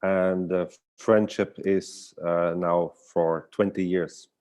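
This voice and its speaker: Very deep-voiced man, thoughtful and hesitant. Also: flat delivery, slow pace, a little mellow, smooth. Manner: hesitant, searching for words. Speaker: guest artist and storyteller. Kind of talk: casual reflective online conversation.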